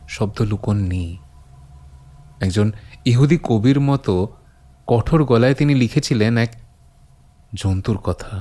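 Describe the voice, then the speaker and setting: A man playing a grave first-person narrator of a horror audio story, solemn and halting, drawing out phrases for suspense. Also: medium pitch, a little mellow. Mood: grave, hushed dread. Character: grave male narrator recounting old forbidden horrors to his friend. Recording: long reverb on the voice.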